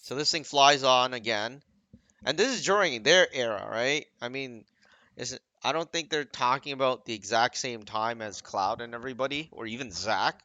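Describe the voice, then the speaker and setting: A man's voice: mid-range voice, normal speed, sharp tone, no room sound. A man giving casual, off-the-cuff game commentary, rambling and often second-guessing his own wording. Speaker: gaming video creator.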